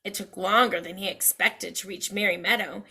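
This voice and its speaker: A woman's voice: mid-range voice, steady tone, normal speed, creaky, bright, forceful tone. A woman reading a children's story aloud with dramatic expression, speaking directly to young listeners.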